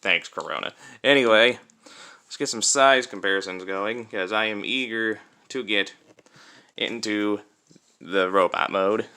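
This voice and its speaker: A young man giving a casual, unscripted toy review in a chatty, halting way, recorded on a cell phone. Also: lowish voice, pushed and bright, smooth. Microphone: cell phone used as camera.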